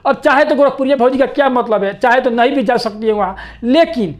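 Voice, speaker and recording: A man's voice: very high voice, a little fast. A man giving informal, opinionated news commentary to viewers in a repetitive, insistent way.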